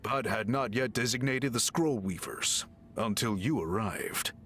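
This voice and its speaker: Calm serious tribe chief in a game, formal and patient, voice moves up and down a lot. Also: male voice, fairly low pitch, slight background noise. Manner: formal, measured, explaining patiently. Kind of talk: game voice acting.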